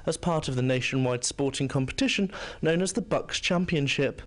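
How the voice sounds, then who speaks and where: A man's voice: a little high, moderate pace, noisy background. A man narrating a scripted sports news package as a reporter.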